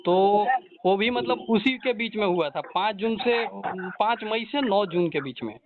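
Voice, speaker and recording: A man's voice: sits high, unhurried, a crowd in the background. A man interviewing informally by phone, probing and repeating answers back. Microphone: phone call. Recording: thin narrowband phone call recording.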